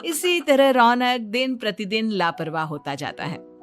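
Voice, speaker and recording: A woman's voice: on the high side, very animated, measured pace. Female narrator over faint music. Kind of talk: scripted storytelling narration.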